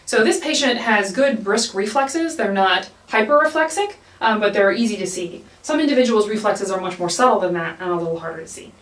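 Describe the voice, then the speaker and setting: A woman's voice: medium pitch, expressive, fairly quick, hardly any pauses. A woman giving an explanatory hands-on clinical demonstration as an instructor, repeating key points. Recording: echoey room, somewhat distant mic.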